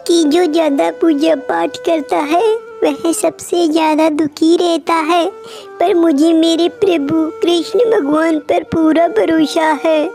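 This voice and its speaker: A woman voicing a devout, sorrowful little female sparrow, earnest and pleading, in an animated moral story. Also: very high voice, heavily acted. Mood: earnest, trusting.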